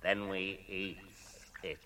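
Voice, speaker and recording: A man's voice: sits low, little pitch movement, slow pace, bright, forceful tone, a little hiss or hum, exaggerated voice. A man playing a wretched, greedy cave creature in a radio drama, muttering and whining in a strangled voice. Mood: sly, menacing. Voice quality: creaky, strangled voice. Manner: muttering to himself, wheedling, whining.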